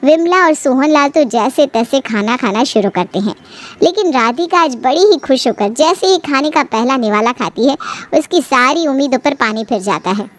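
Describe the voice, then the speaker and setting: High-voiced woman narrating a story. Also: expressive, normal speed.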